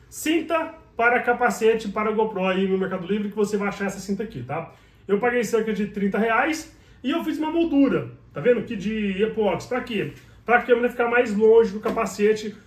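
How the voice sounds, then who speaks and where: A man in his thirties with a Brazilian Portuguese accent, chatting to the camera about his riding gear and checking in with the viewer after nearly every sentence. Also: sits high. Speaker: motovlogger. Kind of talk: casual gear tutorial to camera. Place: small bedroom, indoors. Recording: echoey bare room.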